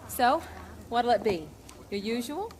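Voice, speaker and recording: A friendly diner waitress in a game. She sounds familiar and easygoing, in a slightly high voice. There is faint background noise. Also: lively intonation, a little slow, a little mellow. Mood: friendly, casual. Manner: familiar, chatty, obliging. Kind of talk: naturalistic game acting.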